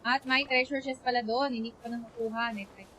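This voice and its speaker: A woman's voice: a little high, fairly even intonation, fast, sharp tone, slight background noise, low-quality recording. A Filipino woman doing casual, chatty live game commentary, switching between two languages and reading dialogue aloud. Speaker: game streamer. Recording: game music behind the voice. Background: video game music.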